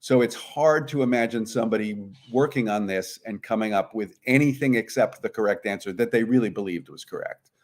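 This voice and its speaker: A man's voice: fairly low pitch, brisk, darkish tone. A man speaking as an interview guest, giving conversational, explanatory answers. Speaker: guest, puzzlemaker.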